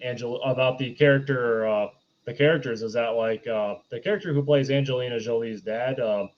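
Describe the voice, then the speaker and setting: A man co-hosting an unscripted film discussion, explaining his points in a halting, casual way on a dull, lo-fi track. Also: mid-range voice, steady tone. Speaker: podcast co-host.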